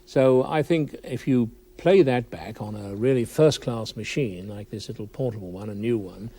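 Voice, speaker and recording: A man's voice: lowish voice, moderate pace, warm tone, breathy edge. A British man giving considered, explanatory answers as an expert guest in a broadcast interview. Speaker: audio journalist, expert guest.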